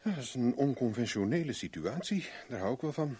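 An actor in a Dutch radio drama playing a wry, flirtatious private investigator with a glib, teasing manner. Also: male voice, mid-range voice, animated, a little fast, dark tone, husky. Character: wry, flirtatious, cool-headed male private investigator for hire.

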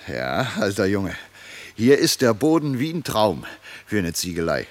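Cocksure, animated soldier, lowish voice. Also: male voice, measured pace, no echo. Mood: confident. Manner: blustering, self-assured, impatient. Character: cocksure, bluffing escaped soldier leading his comrade. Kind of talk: German radio play acting.

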